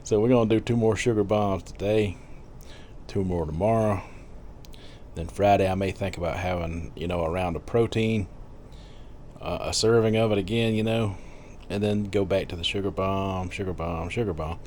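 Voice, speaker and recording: A man's voice: deep voice, steady tone, normal speed, darkish tone, a little hiss or hum. A man in his fifties chatting casually in a rambling vlog, full of fillers and little laughs. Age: 50s. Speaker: vlogger.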